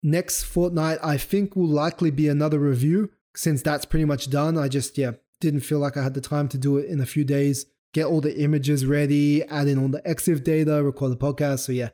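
Young guy with a sore throat rambling through camera specs, slightly high voice but mellow and dark. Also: steady tone, a little fast, few pauses. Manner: rambling, loose, with many fillers and self-corrections. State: sore throat, starting to lose his voice. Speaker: podcast host. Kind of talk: casual solo podcast explainer.

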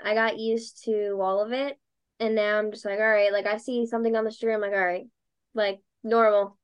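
A young woman from Delaware County with a Delco accent, chatting loudly as an interview guest and filling her talk with "like.". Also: mid-range voice, level tone, fairly quick, clean tone. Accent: Delco (Philadelphia area). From Delaware County, Pennsylvania. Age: young adult. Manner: loud, talkative, lots of "like". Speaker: guest, dancer and podcaster. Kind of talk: casual interview chat.

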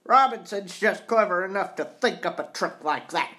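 A man's voice: high-pitched, lively intonation, moderate pace, pitched above the performer's own voice. A man voicing a blustering, accusing male employer in a storybook, with a harsh, sneering delivery. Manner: sneering, forceful, badgering.

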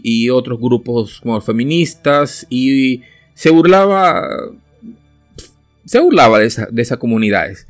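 A man who grew up in Venezuela, hosting a solo opinion podcast and talking it through off the cuff, pausing and restarting as he hunts for words. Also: medium pitch. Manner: unscripted and searching, with restarts and filler pauses. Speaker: podcast host.

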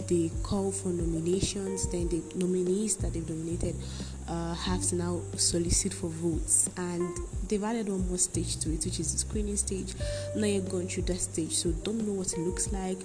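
A young woman hosting her own podcast late at night, talking softly and often whispering, earnest and grateful as she chats casually. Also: on the low side. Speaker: podcast host. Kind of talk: casual solo podcast chat.

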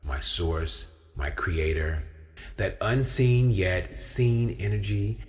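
A young man delivering an inspirational spoken-word monologue as a storyteller, with deliberate, measured phrasing and emphatic pauses. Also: low voice, expressive. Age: young adult. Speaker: featured storyteller. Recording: long hall-like reverb on the voice.

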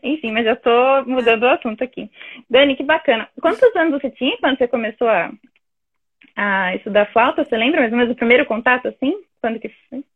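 A woman's voice: fairly high pitch, quick pace, husky. A woman hosting a casual live interview over a video call, effusive and encouraging, in muffled, thin audio. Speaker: interview host.

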